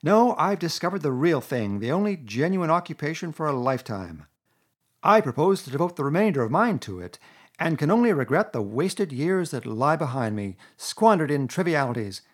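A man voicing a boastful, excitable male toad in a storybook reading, loud and gushing. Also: on the high side, normal speed, pitched above the performer's own voice.

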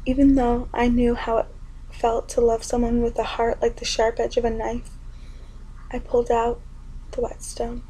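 A young woman performing spoken word poetry in an intimate, often whispered delivery with a gravelly edge. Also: a little high, completely flat delivery, normal speed, mellow, low-quality recording.

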